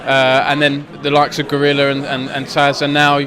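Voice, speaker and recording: A man's voice: mid-range voice, monotone, moderate pace. A man interviewing players at an event, asking friendly, rambling questions. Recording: noisy crowd behind. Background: crowd chatter.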